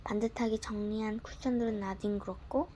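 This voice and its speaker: A young woman gently reading a bedtime story aloud, often dropping close to a whisper. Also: mid-range voice, fairly even intonation, fairly quick, slight background noise, rough recording. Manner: gentle, soft-spoken, often near a whisper.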